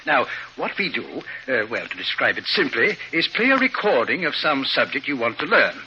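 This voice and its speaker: An actor playing an eager, eccentric scientist professor with theatrical delivery in 1950s radio drama style. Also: male voice, medium pitch, very animated, normal speed, sharp tone. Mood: gleefully coaxing. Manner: theatrical, chuckling, hesitant asides. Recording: dull, noisy old radio recording.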